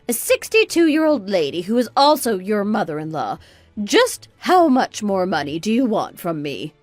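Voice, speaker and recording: A woman's voice: fairly high pitch, no echo. A woman playing a spiteful, self-righteous mother-in-law of sixty-two in an audio drama, scolding and condescending with dramatic exclamations. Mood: indignant. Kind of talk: scripted radio drama dialogue.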